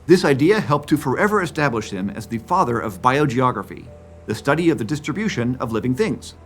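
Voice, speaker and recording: Male narrator, fifties, scripted documentary read, animated intonation, light music bed. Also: medium pitch, fairly quick. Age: 50s. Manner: clear, explanatory. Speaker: science video narrator.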